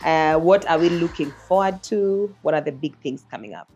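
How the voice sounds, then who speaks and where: Low-voiced woman interviewer. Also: expressive, normal speed, lo-fi sound, faint music behind. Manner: soft backchannels, brief prompting questions. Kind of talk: casual interview.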